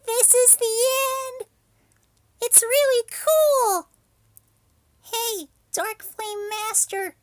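A man voicing a tiny, loud and hyper little girl in a fan anime voice reel. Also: very high voice, extremely slow, long pauses, no room sound, voice pushed up, heavily acted. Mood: excited. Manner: squealing, excitable.

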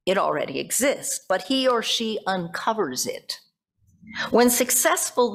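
A middle-aged American woman delivering a reflective sermon from a church pulpit, phrase by phrase, putting questions to the congregation. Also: mid-range voice. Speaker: pastor preaching. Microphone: gooseneck pulpit microphone.

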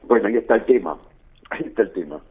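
Deep-voiced Argentine man on phone-like line. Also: lively intonation, very fast, husky. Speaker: political analyst being interviewed. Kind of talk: radio interview analysis. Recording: narrow phone-like line, muffled.

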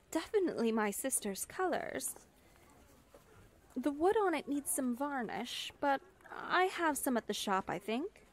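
A woman's voice: high voice, exaggerated voice. A woman playing a confident, curious young witch in a conversational fantasy ASMR roleplay.

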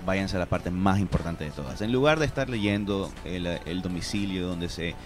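A man's voice: sits low, normal speed, over music. A man in his thirties explaining things with examples as an expert guest in a radio studio interview. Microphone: studio broadcast microphone.

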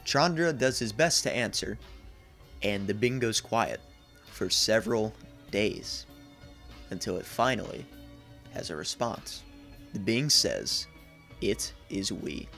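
Low-voiced young man narrating, slightly creaky. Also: lively intonation, slow, pauses often, fairly bright tone, faint music behind. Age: 20s. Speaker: video essayist. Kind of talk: scripted narration, horror series recap. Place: small indoor room.

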